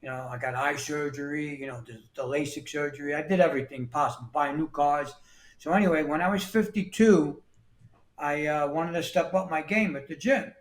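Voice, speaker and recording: A man's voice: fairly high pitch, a little fast. Echoey-sounding man in his sixties from New York. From New York City. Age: 60s. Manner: plain-spoken, repeats words and restarts often. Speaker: guest. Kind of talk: casual interview talk.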